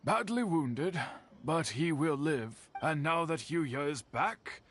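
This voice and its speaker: Kindly game townsman, fairly high voice, very lively intonation, deliberate, faint noise behind. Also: heavily acted. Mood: reflective, relieved. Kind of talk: video game voice acting.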